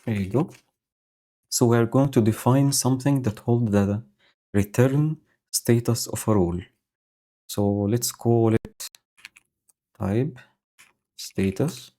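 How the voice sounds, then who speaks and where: A man streaming live, explaining his code slowly and thinking aloud with many fillers. He has a low voice and is speaking into a home microphone setup with a noise gate. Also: mellow. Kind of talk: casual live-coding commentary. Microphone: new home audio setup with noise gate.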